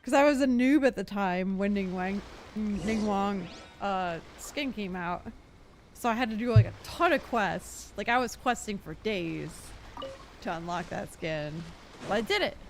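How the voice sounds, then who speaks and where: A woman's voice: medium pitch, unhurried, slight background noise. A woman giving casual commentary as she streams a game. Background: game music and dialogue.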